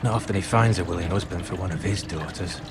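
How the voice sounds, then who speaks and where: An actor playing a gruff, chatty common man in a medieval fantasy video game. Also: low voice, fast talker, very noisy. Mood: wry, grudging. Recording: loud outdoor ambience behind voice.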